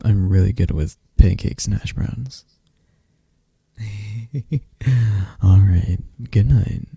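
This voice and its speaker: A man voicing a shy, affectionate best friend in an ASMR roleplay, speaking softly and stammering with nerves. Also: sits low, takes it slowly, gravelly, slightly rough, dry recording, exaggerated voice. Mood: playful. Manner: soft-spoken, stammering, gentle.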